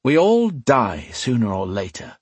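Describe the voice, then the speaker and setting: A man voicing a wry, quietly threatening rogue in an audiobook, unhurried and mock-polite. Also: mid-range voice, huge pitch swings, slightly rough, dry recording, pitched above the performer's own voice, heavily acted. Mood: wry, menacing. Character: cool, menacing male rogue with dagger, wryly amused. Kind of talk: dramatic audiobook character voice.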